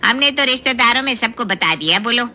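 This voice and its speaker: Woman playing a high, bossy, gossipy aunt. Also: expressive, fairly quick, bright, forceful tone. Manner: insistent, brusque. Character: bossy, gossipy older female relative, brisk aunt. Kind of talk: Hindi cartoon story voice acting.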